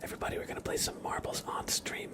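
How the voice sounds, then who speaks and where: A man hosting an ASMR livestream, chatting casually and gently with viewers in a soft, breathy whisper. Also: quick pace. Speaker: live ASMR streamer and host.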